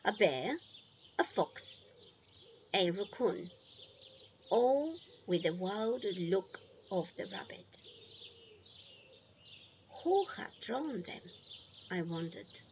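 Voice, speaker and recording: Unhurried woman reading a children's story. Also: mid-range voice, big pitch swings, a little mellow, highs cut off, slight background noise. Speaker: storybook reader. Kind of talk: read-aloud children's storytime narration.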